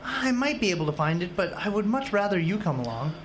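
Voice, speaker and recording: An earnest young Midwestern husband played by a man, easygoing yet determined. His pitch moves in a lively way as he talks conversationally in a radio drama, with faint noise behind him. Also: quick pace.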